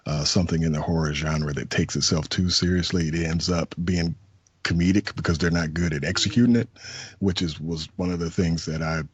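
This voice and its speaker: Deep husky-voiced male podcast host. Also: fairly quick, no echo. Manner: relaxed, chatty, many fillers. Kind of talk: casual movie review chat. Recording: dull lo-fi sound.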